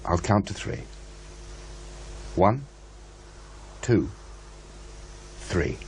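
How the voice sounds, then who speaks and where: An actor playing a polite, probing investigator in a radio drama, with a tentative, questioning manner. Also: male voice, low-pitched, animated, extremely slow, darkish tone, slight background noise, full character voice. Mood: businesslike. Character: probing, polite male investigator, eager and uneasy. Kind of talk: naturalistic radio drama dialogue.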